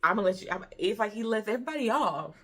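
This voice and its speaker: A woman's voice: mid-range voice, lively intonation, quick pace, sharp tone. Chatty American woman in her thirties. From Detroit, Michigan, USA. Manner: chatty, informal, emphatic repetition. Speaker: book review vlogger. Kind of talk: casual conversational book review.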